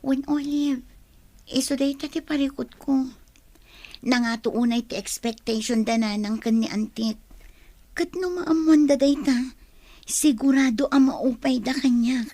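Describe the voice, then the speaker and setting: A woman's voice: high voice, unhurried, mellow, no room sound. A woman playing a troubled young student under family pressure in a radio drama, with an Ilocano accent. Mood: worried, stressed. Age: young adult.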